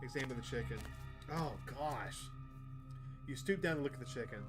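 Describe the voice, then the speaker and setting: A man's voice: medium pitch, normal speed. A man hosting a gaming video, reading visual novel narration aloud and adding casual commentary. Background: music.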